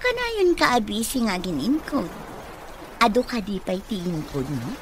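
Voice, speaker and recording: A woman's voice: mid-range voice, sing-song, unhurried, a little hiss or hum. A woman acting a vain young office worker in an Ilocano radio comedy drama, haughty and whiny.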